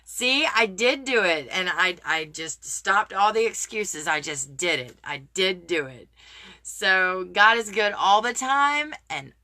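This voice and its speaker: Bright-voiced woman giving encouraging live talk. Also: mid-range voice, expressive, slow pace. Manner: earnest, encouraging, greets viewers by name. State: slightly raspy voice. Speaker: motivational live streamer. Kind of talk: casual motivational talk to viewers.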